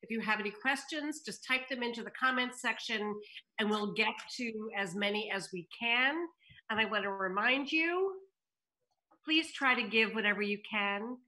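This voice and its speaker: A woman's voice: fairly high pitch, moderate pace, bright, forceful tone, poor audio. A middle-aged woman on a home video call, informally hosting a table read and narrating stage directions. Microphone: computer video call.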